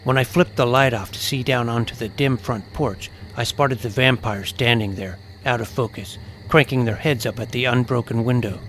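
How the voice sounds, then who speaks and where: A man's voice: medium pitch, moderate pace, fairly bright tone, a little hiss or hum, rough recording. A man giving a dramatic, scripted first-person narration of a suspense adventure story.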